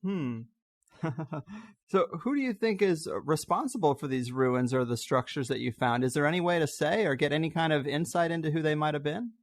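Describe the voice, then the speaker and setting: Higher-pitched man hosting a podcast interview. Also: lively intonation, fairly quick. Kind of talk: casual conversational interviewing.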